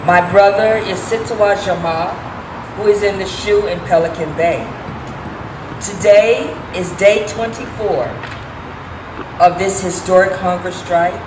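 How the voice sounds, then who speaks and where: A woman giving a dramatic reading as an earnest relative of a prisoner, in a measured, careful way. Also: on the low side, lightly acted. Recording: echoey, distant mic, background noise.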